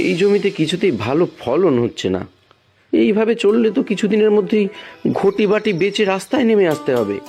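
High-pitched, theatrical village farmer. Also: male voice, big pitch swings, brisk, creaky, warm tone, breathy edge, soft background music, heavily acted. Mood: fretting. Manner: theatrical, exclaiming, coaxing. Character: poor village farmer, anxious, scheming husband. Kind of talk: cartoon voice acting.

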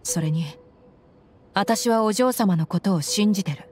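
An actress playing a loyal, frank young mercenary bodyguard in a game, speaking in a firm, plain-spoken, tomboyish way. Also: female voice, mid-range voice, a little slow, a little mellow, lightly acted. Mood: resolute. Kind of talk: Japanese game voice acting.

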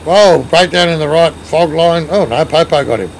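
Aussie bloke on a headset streaming a truck game, slightly high voice, faint hum behind. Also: male voice, moderate pace, poor audio. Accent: Australian. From Australia. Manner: banters, thanks chat viewers, swears playfully. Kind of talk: casual gaming chat. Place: home gaming room, indoors.

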